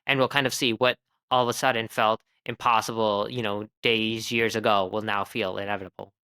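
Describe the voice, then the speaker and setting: Bright, smooth-voiced man musing. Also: fairly low pitch, little pitch movement, a little fast. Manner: thoughtful, reflective, many "you know"s. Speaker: podcast co-host. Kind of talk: casual chat.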